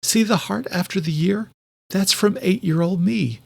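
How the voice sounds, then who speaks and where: A man's voice: high-pitched, moderate pace, vocal fry, voice pushed up, heavily acted. A man voicing a spirited, emotional young woman with a snappy manner in an audiobook.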